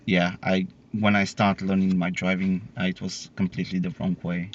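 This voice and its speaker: A man's voice: deep voice, little pitch movement, normal speed. A young man from Bulgaria with a Bulgarian accent, a learner driver talking hesitantly with many fillers inside a car. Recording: dull in-car audio.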